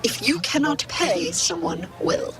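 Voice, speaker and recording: Woman playing a high-voiced, haughty alien shopkeeper. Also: very animated, measured pace, heavy background noise. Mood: businesslike. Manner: prim, formal, businesslike salesmanship. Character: indignant female squid-like alien shopkeeper, haughty merchant. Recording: loud crowd bustle behind. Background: busy market crowd.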